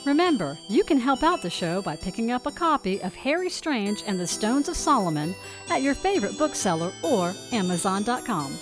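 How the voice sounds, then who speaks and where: Lively woman announcer over music. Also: a little high, moderate pace. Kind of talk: scripted closing credits read. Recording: music under the voice.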